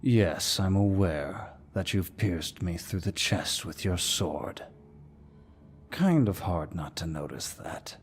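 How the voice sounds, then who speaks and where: A man playing a suave, dominant demon lord who speaks in a deep, husky voice with mocking courtesy, as in an ASMR villain roleplay. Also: animated, a little hiss or hum, full character voice. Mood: smug, menacing. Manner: slow, mocking, mock-courteous, theatrical.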